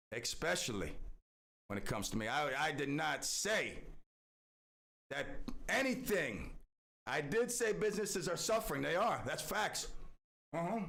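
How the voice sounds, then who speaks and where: A man's voice: high voice, vocal fry, fairly bright tone. A middle-aged man hosting a talk radio show, ranting in a boastful, theatrical way. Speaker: radio host.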